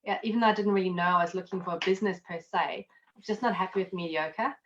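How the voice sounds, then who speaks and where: A South African woman talks fast and a little low, telling her story in a chatty, self-deprecating way. The sound comes through a dull, lo-fi video call. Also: a bit bright. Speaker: guest, accountant and network marketer. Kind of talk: casual interview chat.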